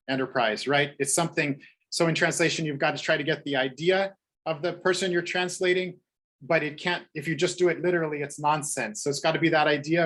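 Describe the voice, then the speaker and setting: A man's voice: medium pitch, a bit bright. Thoughtful male translator on a video call. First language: English. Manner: thoughtful, digressive, many fillers and restarts. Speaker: panelist, translator. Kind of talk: book panel discussion, video call.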